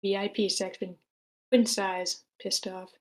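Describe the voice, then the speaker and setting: A woman's voice: mid-range voice, flat delivery, a little slow. A woman reading stage directions in a matter-of-fact way at a screenplay table read.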